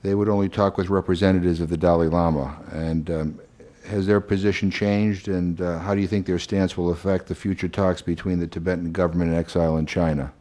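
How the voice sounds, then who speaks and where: A man's voice: low voice, little pitch movement, brisk, hardly any pauses, mellow. A man chairing a hearing and questioning a witness, often restarting his sentences as he goes. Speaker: committee chairman.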